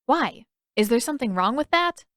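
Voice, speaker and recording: A woman voicing an excitable, boastful young teenage boy in an audiobook, exuberant and eager. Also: a little high, normal speed, no room sound, clean studio sound, voice pushed up. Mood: mildly defensive. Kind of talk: audiobook character voice.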